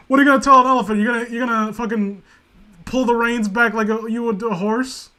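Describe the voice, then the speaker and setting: A man with a notably high voice hosts a casual group chat. He rants and jokes in animated banter, swearing freely as he goes. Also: brisk. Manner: profane, joking, animated banter. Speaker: host of a story reading stream.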